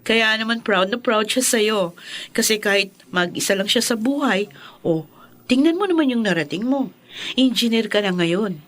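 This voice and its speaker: An actress playing a sweet, supportive young woman in a Filipino radio drama, gentle and encouraging. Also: fairly high pitch, expressive, moderate pace.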